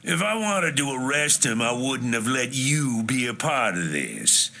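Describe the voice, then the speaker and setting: A man playing a world-weary, pragmatic police detective in a video game, gruffly matter-of-fact and measured. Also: medium pitch, sing-song, pushed and bright, a little hiss or hum, exaggerated voice. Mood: pointed, resigned. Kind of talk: video game cutscene acting.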